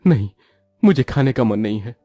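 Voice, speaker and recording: A man's voice: mid-range voice, animated, normal speed, dark tone, breathy edge, no room sound, studio quality, faint music behind. A man voicing a worn-out, apologetic poor father and husband in a moral-story cartoon. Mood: dejected, apologetic.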